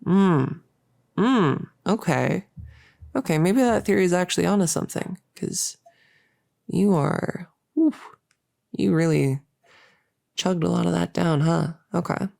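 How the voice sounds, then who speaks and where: A woman playing a playful, doting young cultist in an intimate roleplay monologue, chatty and halting as she teases and coddles. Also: on the low side, vocal fry, darkish tone, no echo, clean studio sound. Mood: curious, rambling.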